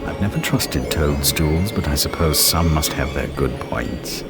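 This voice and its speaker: Very deep, sly cat. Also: male voice, lively intonation, unhurried. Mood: wry, cryptic. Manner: wry, aphoristic, aloof. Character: sly, sardonic, riddling cat companion. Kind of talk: dark fantasy video game acting. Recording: loud music behind.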